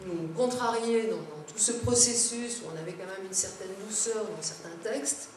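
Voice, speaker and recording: A woman's voice: medium pitch, moderate pace, darkish tone. A woman giving a public lecture to elected officials, explaining things with informal asides. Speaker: conference speaker, local government adviser. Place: large echoing indoor seminar room. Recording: very echoey room, distant mic, background noise.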